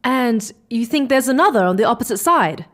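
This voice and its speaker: A woman playing an inquisitive, excitable space explorer in an audio drama, with a questioning, hesitant way of talking. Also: fairly high pitch, a little fast. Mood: curious, uneasy.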